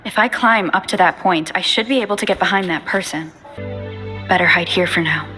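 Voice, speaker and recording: A woman's voice: on the low side, brisk, sharp tone, faint music behind. A woman voice-acting a determined, wary young female wanderer in a video game. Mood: guarded.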